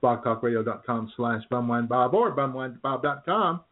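A man co-hosting an online talk show, trading jokey, deadpan banter in a mid-pitched voice. The audio is thin and phone-like. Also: a little fast. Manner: jokey, deadpan, laughs at his own lines. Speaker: co-host of an internet radio show. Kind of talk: casual banter on a call-in radio show. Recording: tinny phone-line internet radio audio.

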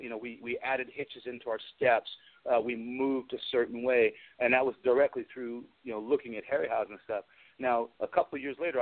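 A man of average pitch speaks over a narrow, phone-like connection on a radio interview. He is an enthusiastic guest who rambles through anecdotes in a conversational way. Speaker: guest, special effects artist and creature performer. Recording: tinny phone-like radio call.

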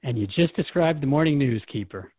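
A man hosts a conversational interview with a candid, wry manner. His voice has a middle pitch and a close, dry sound with no room echo. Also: lively intonation, fairly quick, narrow, tinny audio. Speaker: host and questioner.

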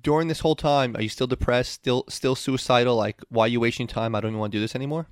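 Guy hosting an interview, asks stuff fast, casual, dry sound. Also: medium pitch, smooth, no echo. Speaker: interviewer, podcast host.

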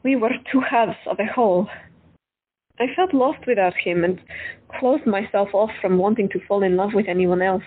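A woman's voice: mid-range voice, normal speed, husky, natural voice. A woman playing a blunt, unconventional doctor. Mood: candid. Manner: blunt, candid, lightly teasing. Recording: tinny phone-like audio.

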